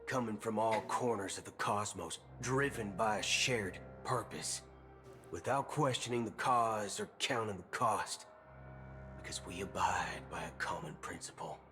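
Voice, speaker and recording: An actor playing a grand, stoic space ranger in a video game, speaking in solemn, measured declarations. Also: male voice, fairly low pitch, natural voice. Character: stoic adult male galaxy ranger, grandly heroic. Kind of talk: scripted video game acting. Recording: game audio over loud music.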